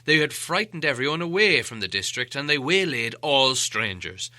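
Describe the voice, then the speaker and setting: A man's voice: mid-range voice, big pitch swings, normal speed, bright, forceful tone, dry recording. A man voicing a commanding old wizard from a storybook, testy and emphatic, lecturing as he goes. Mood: explaining, stern. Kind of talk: acted audiobook dialogue.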